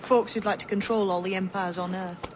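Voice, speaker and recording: A man voices a blunt assassin from a game, stern yet amiable. There is clear ambient noise around the voice. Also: high voice, steady tone, fairly quick, lightly acted. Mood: frank, earnest. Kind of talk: video game cutscene acting. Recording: clearly noisy outdoor ambience.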